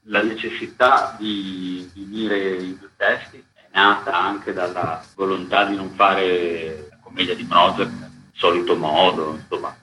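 A low-voiced man speaks as a theatre dramaturge in an interview. His tone is bright and forward, and he explains reflectively. The room echoes around him. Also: little pitch movement, a little slow. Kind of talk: interview talk. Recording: roomy echo, faint background noise.

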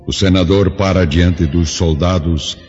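A man's voice: low voice, steady tone, unhurried, darkish tone. A man narrating a radio drama in a solemn, literary, dramatic scripted style. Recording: dull lo-fi radio drama, music behind.

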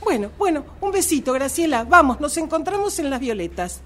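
A woman's voice: high voice, big pitch swings, fairly quick, fairly bright tone. A woman playing a supportive, insistent friend in a radio drama, with an Argentine accent and hesitant, coaxing phrasing. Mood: upbeat coaxing. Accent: Argentine Rioplatense. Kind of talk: radio drama workshop dialogue. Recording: noisy amateur workshop recording.

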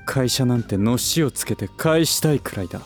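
A man's voice: medium pitch, expressive, brisk, faint music behind, lightly acted. An actor playing a smug, condescending aristocratic businessman in a Japanese voice drama, with languid, lordly, teasing phrasing. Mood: mocking, wry.

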